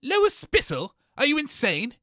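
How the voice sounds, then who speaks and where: A man hosting a scripted comedy radio show, grumpy, sarcastic and quick to insult his callers. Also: very high voice, wildly swooping pitch, a bit bright, dry recording, clean studio sound.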